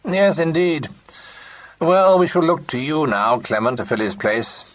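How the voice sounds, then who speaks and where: A man's voice: a little high, animated, a little slow, a little hiss or hum, pitched above the performer's own voice. A man voicing a pompous older businessman who talks ponderously with a false heartiness. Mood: sympathetic. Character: pompous older male company partner.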